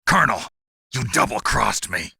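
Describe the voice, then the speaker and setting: A hard-bitten game soldier with a deep, heavily rasping voice. He speaks tersely and intensely, with a forceful edge, recorded close and dry. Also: animated, moderate pace, no echo, full character voice. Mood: alarmed, angry. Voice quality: gravelly, raspy. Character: gruff, world-weary male covert soldier. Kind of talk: dramatic video game voice acting.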